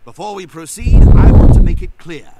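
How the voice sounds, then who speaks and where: A man's voice: high-pitched, normal speed, highs cut off, very noisy, natural voice. A man voicing a small, bossy, condescending inventor in a video game, with a pompous, lecturing delivery. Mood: brisk, impatient.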